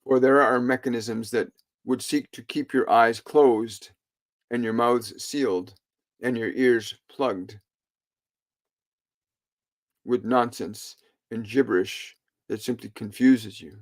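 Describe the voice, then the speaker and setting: Slow, deliberate man delivering a spiritual message. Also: medium pitch, steady tone. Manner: deliberate, halting short phrases with frequent pauses; formal, archaic, oratorical phrasing. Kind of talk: solemn spiritual sermon monologue.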